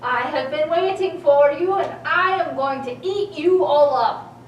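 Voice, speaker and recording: A woman playing a greedy, menacing bridge troll in a children's puppet show, with drawn-out, declaring lines. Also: lo-fi sound. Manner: slow, drawn-out, booming declarations. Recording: roomy, distant mic, faint background noise.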